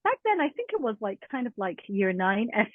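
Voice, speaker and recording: A woman's voice: on the high side, sing-song, brisk, no room sound. A woman with a mild Edinburgh Scottish accent tinged with American, chatting in an interview with frequent fillers and laughs. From Scotland. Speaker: guest teacher. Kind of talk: casual conversational interview. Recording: tinny phone-like line.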